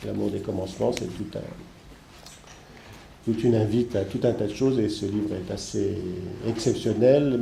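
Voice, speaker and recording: A man with a congested head cold gives a halting, digressive introduction as host of a seminar. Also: on the low side, vocal fry, warm tone. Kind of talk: spoken introduction of a seminar, semi-improvised. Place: seminar room with an audience. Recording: echoey room with faint background noise.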